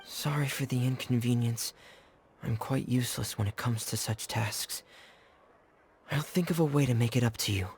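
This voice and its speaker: A man's voice: medium pitch, normal speed, slight background noise, close to an everyday voice. A man playing a shy, introverted teenage boy in an anime game, speaking in a hesitant, earnest, subdued way. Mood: timid. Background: background music.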